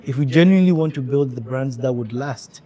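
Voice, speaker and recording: A male podcast host who speaks in a measured, reflective way, pausing often as he builds his questions. His voice has a dark, mellow tone and a middle pitch. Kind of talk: conversational interview.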